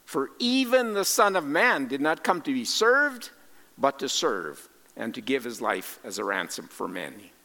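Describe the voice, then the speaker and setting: A man preaching a sermon as a missionary, speaking deliberately and emphatically in short phrases with pauses, in a large reverberant space. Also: medium pitch, very animated, vocal fry, bright, forceful tone. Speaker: preacher and cross-cultural missionary. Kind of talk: sermon with scripture quotations and rhetorical questions.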